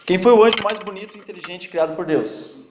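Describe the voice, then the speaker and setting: A male Bible teacher speaks with a strong foreign accent, his voice sitting fairly high. He keeps the class involved with questions and light teasing. The sound bounces around an echoey church room. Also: fast talker, a little hiss or hum. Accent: strong non-native accent in Portuguese. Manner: jokey, quizzes and teases students.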